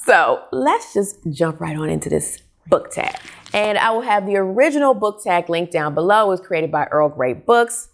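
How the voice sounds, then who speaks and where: A woman chatting casually to camera as a book reviewer, expressive and emphatic in a conversational way. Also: mid-range voice, normal speed, a little hiss or hum. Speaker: book vlogger, host. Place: small furnished living room, indoors.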